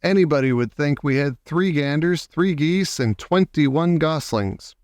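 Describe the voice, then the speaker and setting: A man voicing a female spider in a storybook, brisk, orderly and slightly impatient as she runs things. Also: fairly high pitch, expressive, a little mellow, smooth, no echo. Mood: exasperated. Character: female spider, brisk bossy meeting leader.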